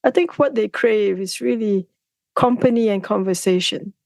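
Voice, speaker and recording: A woman's voice: medium pitch, normal speed, creaky, darkish tone, no room sound. A woman hosting a casual interview, encouraging her guest. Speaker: podcast host.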